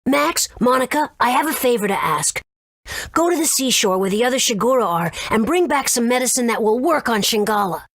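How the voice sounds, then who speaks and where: A woman's voice: fairly high pitch, lively intonation, brisk, fairly bright tone, dry recording, clean studio sound. A woman voicing a caring but scolding young guardian of creatures in a video game, earnest and fretful. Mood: pleading.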